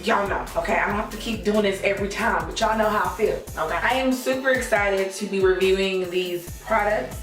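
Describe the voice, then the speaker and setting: A woman in her thirties with a hoarse, worn voice, chatting through a hair tutorial in an emphatic, friendly way. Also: medium pitch, brisk, hardly any pauses, echoey room, far from the mic, soft background music. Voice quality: hoarse, lost voice. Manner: chatty, emphatic, says y'all a lot. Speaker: hair vlogger. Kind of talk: casual hair tutorial chat.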